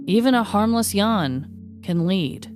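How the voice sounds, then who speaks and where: A woman co-hosting a true-crime podcast, telling the story in casual, animated chat and laughing often. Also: mid-range voice, unhurried, music in the background. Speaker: podcast co-host.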